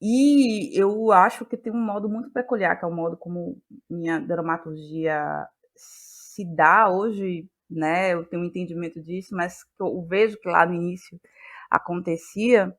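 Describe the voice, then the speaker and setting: Low-pitched Bahian woman in conversation. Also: a little slow. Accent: Brazilian, from Bahia. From Salvador, Bahia, Brazil. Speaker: guest, playwright and actress. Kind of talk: conversational interview answers.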